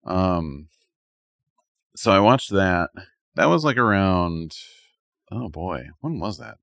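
Low-voiced man chatting, hesitant and rambling. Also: lively intonation, takes it slowly, creaky, fairly bright tone, no room sound, studio quality. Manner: hesitant, rambling, thinking aloud. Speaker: podcast co-host. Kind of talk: casual chat, reviewing anime.

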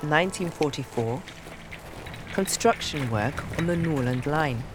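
Woman narrating history drama, really low voice, loud guitar music underneath. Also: lively intonation, unhurried. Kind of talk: scripted documentary-style drama narration. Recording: prominent guitar music behind voice.

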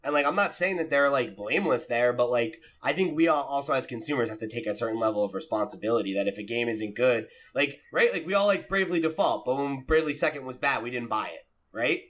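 A man's voice: mid-range voice, lively intonation, fast talker, hardly any pauses, fairly bright tone. A man hosting a podcast, reasoning aloud in casual, informal discussion. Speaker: podcast host.

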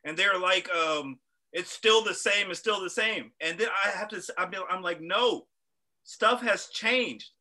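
A man's voice: high-pitched, measured pace, sharp tone. A man co-hosting a casual talk show, telling stories bluntly and swearing. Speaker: podcast co-host. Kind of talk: casual opinionated chat.

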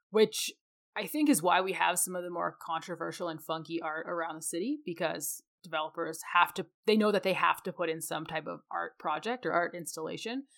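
A woman's voice: fairly low pitch, vocal fry, fairly bright tone. A woman with a Canadian accent co-hosting a podcast, explaining things in a casual, conversational way. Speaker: podcast co-host; mechanical engineer.

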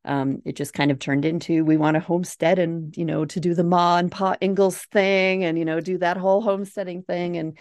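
A woman in her forties speaks with a clear Minnesota accent, chatty and easygoing, laughing between points. Her voice sits a bit low and sounds close and dry, with no room around it. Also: steady tone, fairly quick, hardly any pauses, darkish tone, no echo. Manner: chatty, laughing easily, with filler uhs. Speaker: guest. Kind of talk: casual interview chat.

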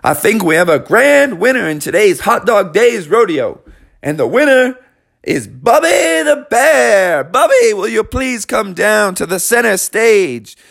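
A man playing a booming, folksy country rodeo announcer in a told story, with drawling showy delivery. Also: sing-song, unhurried, creaky, a bit bright, dry recording, clean studio sound, exaggerated voice. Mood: hyping.

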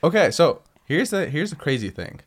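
A man's voice: a little high, big pitch swings, normal speed. A man guesting on a podcast, explaining at length in casual chat, restarting sentences and leaning on fillers.

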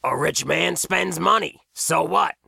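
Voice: medium pitch, measured pace, vocal fry, bright, forceful tone, slightly rough, no echo, studio quality, voice pushed up, heavily acted. A storybook narrator voicing an earnest male diner owner who is blunt, questioning and easily astonished. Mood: skeptical.

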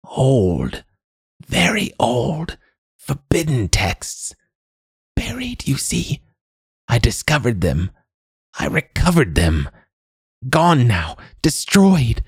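A man voicing a hunched, eager and bitter old woman, an outcast mage in a fantasy story, with a croaking, breathy voice. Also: mid-range voice, huge pitch swings, no room sound, clean studio sound, voice pushed up, exaggerated voice. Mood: secretive, bitter. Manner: halting fragments, then eager outpouring.

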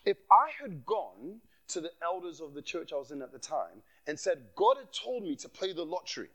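A man from Nigeria delivers a sermon as a guest preacher, with a voice pitched a bit high for a man. He preaches with passion, circles back to repeat his phrases for emphasis and laughs at himself. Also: big pitch swings, moderate pace, fairly bright tone, rough recording. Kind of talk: sermon with personal testimony.

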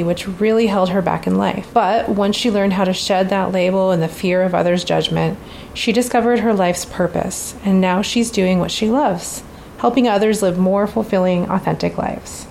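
A woman's voice: mid-range voice, gravelly, a little mellow, a little hiss or hum, rough recording. Bubbly woman podcast host. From Alaska. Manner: upbeat and bubbly, laughs often. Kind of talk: scripted intro, then casual interview chat.

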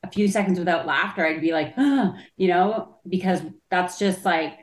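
A woman from Canada with a Canadian accent, a stand-up comedian chatting casually in an interview, with a loud voice and lots of filler words. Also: lowish voice, moderate pace, roomy sound. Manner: chatty, many "like" fillers, trails off.

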